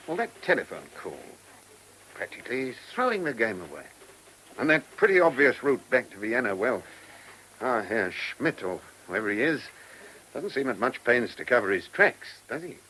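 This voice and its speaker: A man's voice: mid-range voice, sing-song, normal speed, sharp tone, low-quality recording. A man with a British accent playing a dry, shrewd chief inspector in a radio drama, wry, clipped and questioning. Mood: musing, wry. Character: dry, shrewd male police chief inspector, teasing superior. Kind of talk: mid-century British radio drama acting. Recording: old radio recording with faint hiss.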